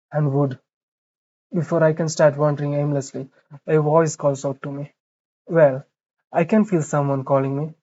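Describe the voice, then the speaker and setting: A streamer narrates a visual novel in a medium-pitched voice. The reading is slow, broken up by offhand remarks, and the sound is a little muffled. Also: mellow. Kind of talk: reading game narration aloud with casual asides.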